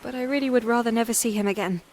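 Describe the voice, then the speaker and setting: A woman playing a frightened, weary young plane-crash survivor in an audio drama monologue, confiding in a halting, plain-spoken, wryly understated way. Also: on the high side, a little mellow, close to an everyday voice. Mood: wry, rattled. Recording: clearly noisy background.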